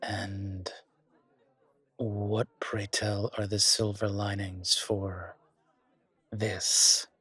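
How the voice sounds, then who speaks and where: An actor playing a sour, reluctant young guard in an audio roleplay drama, speaking in a dry, sardonic, measured way. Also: male voice, on the low side, level tone, pushed and bright, slightly rough, no echo, heavily acted. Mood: disdainful, weary.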